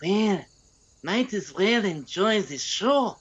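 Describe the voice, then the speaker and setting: A man's voice: high voice, lively intonation, takes it slowly, slightly rough, highs cut off, full character voice. A man playing a laid-back, cynical stoner soldier in a comedic audio drama, lazy and unbothered. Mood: amused, resigned.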